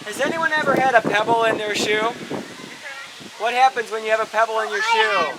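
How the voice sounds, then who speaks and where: A woman's voice: fairly high pitch, expressive, fairly quick, over a noisy crowd. A woman presenting a children's puppet show outdoors, explaining simply and putting questions to the audience. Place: outdoors at an open-air show. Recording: very windy, noisy outdoor recording.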